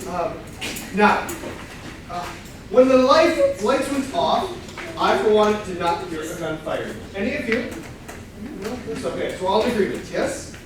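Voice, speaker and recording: A man playing an earnest, halting, pedantic amateur detective in a staged comedy reading. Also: high voice, animated. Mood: earnest, fussy. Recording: very echoey room, distant mic, clear noise.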